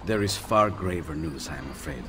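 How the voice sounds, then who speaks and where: A low-pitched Italian-accented inventor, earnest and gentle, talks in a confiding, courteous way. A loud musical score runs beneath this Renaissance game drama. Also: male voice, lively intonation, moderate pace, soft background music, heavily acted. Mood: regretful. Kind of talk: dramatic video game cutscene acting.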